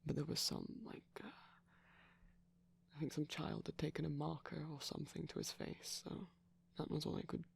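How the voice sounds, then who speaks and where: A man speaking in a soft, breathy ASMR ramble, often slipping into a whisper, gentle, shy and hesitant, close to the microphone. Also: a little high, level tone, normal speed. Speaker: ASMR performer.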